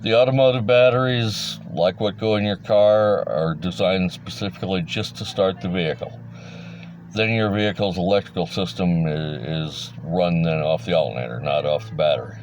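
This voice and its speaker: A man's voice: deep voice, moderate pace, a little hiss or hum. A middle-aged man giving a casual, rambling, plain-spoken explainer in a folksy way, like a hobbyist talking to fellow enthusiasts. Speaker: hobbyist presenter. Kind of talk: casual informal explainer monologue.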